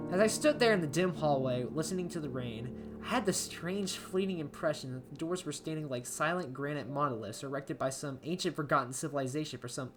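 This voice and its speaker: A woman with a low voice narrates a creepy first-person story. Her delivery is dramatic and very varied in pitch, with phrase endings falling away to a hush. Soft plucked guitar plays behind her. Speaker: amateur horror story reader. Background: plucked guitar music.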